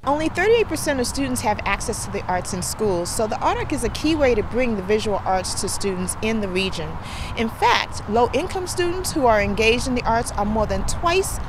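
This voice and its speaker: Middle-aged woman, museum educator in an outdoor interview; earnest, expressive delivery over clear street sound. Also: on the high side, moderate pace. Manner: earnest, informative. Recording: outdoor traffic noise behind.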